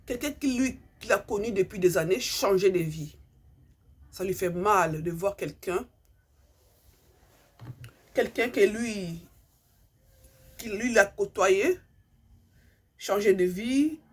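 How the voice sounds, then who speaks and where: Husky-voiced woman. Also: mid-range voice. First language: Lingala. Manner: earnest, repeats herself, hunts for words. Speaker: vlogger. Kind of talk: impassioned monologue to subscribers.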